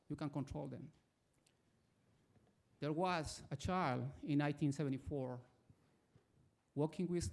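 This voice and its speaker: A man from Ecuador, an audience member who introduces himself formally and tells a story in a measured way before his question. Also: fairly high pitch, vocal fry, darkish tone.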